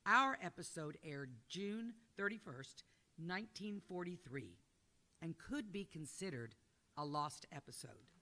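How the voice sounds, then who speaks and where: A woman gives a scripted introduction as presenter. Her voice is bright and forceful, and her pitch moves around in a lively way. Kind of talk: scripted narration.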